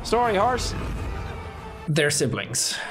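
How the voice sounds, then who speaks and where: Lively Irish guy streaming, swears loudly. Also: on the high side, slow pace, over music. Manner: loud, laughing, swears a lot. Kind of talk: casual chat while gaming. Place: home streaming room.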